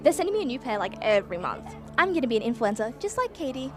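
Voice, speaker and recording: A woman's voice: sits high, big pitch swings, fast talker, over music. A woman acting a naive, breezy young influencer-type reporter in a radio play, with chatty phrasing.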